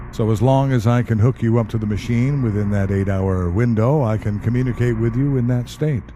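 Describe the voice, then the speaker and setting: Mellow, wry government agent, acted by a man. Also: fairly low pitch, a little hiss or hum, natural voice. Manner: measured, matter-of-fact, dryly polite. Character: calm, wry male government specialist who questions the dead. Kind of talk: audio drama acting.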